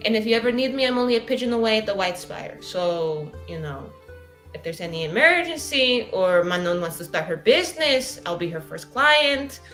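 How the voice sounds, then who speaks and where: Woman playing a bright, swooping, chatty flirt. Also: mid-range voice, lively intonation, moderate pace, faint music behind, natural voice. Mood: polite, eager. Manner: gushing, cheeky, rambling.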